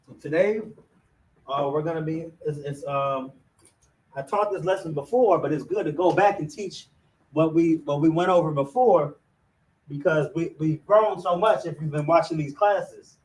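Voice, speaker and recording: A man's voice: a little high, moderate pace, darkish tone, recorded from a distance, poor audio. A man with an American accent teaching an informal religious language class to camera in an emphatic, conversational way. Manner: emphatic, with frequent "you know what I'm saying". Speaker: religious group officer teaching a Hebrew class.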